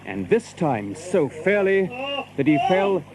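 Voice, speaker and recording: A man narrating an adventure story from a script, in measured, literary, archaic English. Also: high-pitched, sing-song, a loud crowd.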